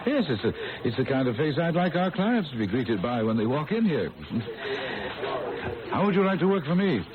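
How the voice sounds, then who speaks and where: A man's voice: mid-range voice, very animated, natural voice. Man acting stammering boss, wildly swooping radio voice. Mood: encouraging. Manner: stammering, halting. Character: awkward, fumbling male boss, eager to please. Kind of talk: vintage-style radio drama acting. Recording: tinny band-limited broadcast, crowd-like noise behind.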